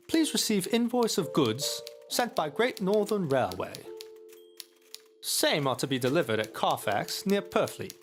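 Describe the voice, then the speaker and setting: A formal Victorian solicitor, dictates a letter in a radio play. The delivery is clipped and officious, with pitch that swings up and down, over faint music. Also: male voice. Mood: businesslike. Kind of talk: dramatic audio drama letter reading.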